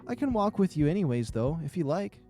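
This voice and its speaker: A man's voice: a little high, very animated, brisk, warm tone, faint music behind, voice pushed up. A man voicing a responsible, considerate male animal friend in a visual novel. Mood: caring, steady. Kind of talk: visual novel character reading.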